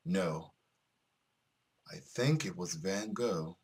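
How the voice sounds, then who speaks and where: Man voicing a slow, low-pitched sadistic killer. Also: a little mellow, husky, full character voice. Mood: cold relish. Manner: slow, deliberate, menacing narration. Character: cold, sadistic male killer savoring his murder. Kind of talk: dramatic reading of visual novel narration.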